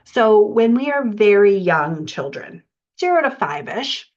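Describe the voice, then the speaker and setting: A woman's voice: mid-range voice, big pitch swings, a little slow, a little mellow. A woman giving an instructional talk as a writing coach, explaining step by step and posing rhetorical questions. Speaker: writing coach and podcast presenter. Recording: dull, lo-fi audio.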